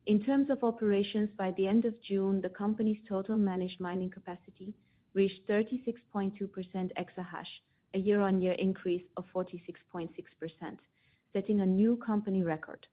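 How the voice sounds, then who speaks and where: A woman's voice comes over a thin, phone-like conference line. Her pitch is average, and she reads a prepared financial report formally, in the manner of a company executive presenting results. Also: fairly even intonation, brisk. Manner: formal, read-out delivery. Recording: tinny phone-line conference call audio.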